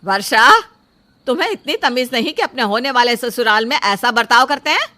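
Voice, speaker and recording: A woman's voice: a little high, animated, moderate pace, sharp tone. A woman playing a greedy, haughty middle-aged mother-in-law in a story drama, condescending and bossy in manner. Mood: indignant, scornful. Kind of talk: dramatised Hindi moral story acting.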